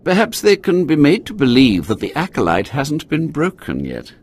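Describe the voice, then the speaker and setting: A man's voice: on the high side, huge pitch swings, normal speed, slightly rough, full character voice. An actor playing a haughty, scheming Sith inquisitor in a video game, theatrical and condescending, drawing out his words. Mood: sly, conceding. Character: haughty, scheming male Sith inquisitor, self-preserving overseer of trials.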